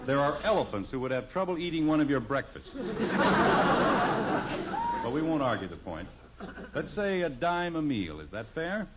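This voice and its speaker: A man's voice: on the high side, big pitch swings, normal speed, long pauses. A man playing an exasperated middle-aged American father in a 1950s radio sitcom, blustery and dryly sarcastic. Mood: dry, teasing. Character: exasperated middle-aged father, short-tempered yet wry. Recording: dull, noisy old radio recording.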